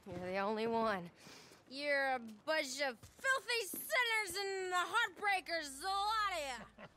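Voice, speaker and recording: Brash, high-voiced American outlaw gal. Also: female voice, sing-song, a little slow, slight background noise, heavily acted. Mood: teasing, confident. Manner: sassy, forceful. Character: brash, fearless female outlaw, teasing and fiery. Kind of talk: game cutscene western drama acting.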